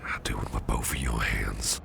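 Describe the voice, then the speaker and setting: Man acting a very deep, gravelly, whispering protective boss. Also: little pitch movement, noisy background, exaggerated voice. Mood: soothing, tender. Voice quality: breathy near-whisper with gravelly fry. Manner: tender, slow, reassuring murmur. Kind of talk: ASMR roleplay, soothing personal attention.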